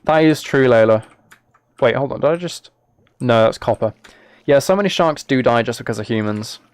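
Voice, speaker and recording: British man, medium-pitched voice, dry close recording, casual livestream gamer chat, mock-exasperated, self-deprecating. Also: animated, moderate pace, no room sound. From United Kingdom. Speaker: live streamer.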